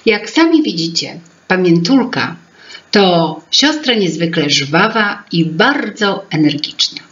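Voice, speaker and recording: A woman's voice: fairly low pitch, animated, slow pace, a bit bright, lo-fi sound. A middle-aged woman narrating a fairy tale expressively as a storyteller. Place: small indoor room with paintings.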